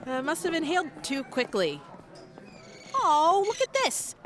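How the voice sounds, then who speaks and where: A woman's voice: sits high, very animated, unhurried, faint music behind. A woman playing an eager, awkward young radio reporter in an audio comedy, chatty and earnest. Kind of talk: comedy audio drama acting.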